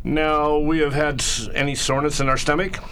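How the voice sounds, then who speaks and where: Man playing a bright-voiced, coaxing country-style doctor. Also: fairly high pitch, flat delivery, fairly quick, slight background noise, close to an everyday voice. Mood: brisk, cheery. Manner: coaxing, patronizing, says "we" to patient. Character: folksy, soothing, condescending male family doctor. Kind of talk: radio play acting.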